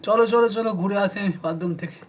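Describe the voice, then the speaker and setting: Guy playing a whiny husband in a doll story, pretty high voice, groaning in pain, keeps repeating himself. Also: fast. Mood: groaning, urgent. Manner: whiny, repeats words hurriedly. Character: groaning, complaining husband with an upset stomach. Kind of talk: amateur doll-story voice acting.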